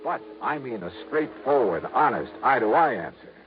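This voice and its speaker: An actor playing a blunt, wisecracking, hardboiled insurance investigator in a 1950s radio drama. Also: male voice, medium pitch, sing-song, soft background music, natural voice. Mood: rueful. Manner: brisk, wisecracking, insistent. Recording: muffled, hissy vintage radio recording.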